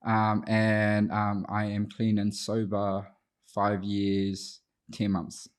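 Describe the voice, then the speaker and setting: A man's voice: fairly low pitch, completely flat delivery, takes it slowly, clean tone. A man in his thirties with a New Zealand accent who grew up speaking Te Reo Māori, reflectively telling his personal story as an interview guest, with some hesitation. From Auckland, New Zealand. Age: 30s. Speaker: podcast guest, addiction counsellor.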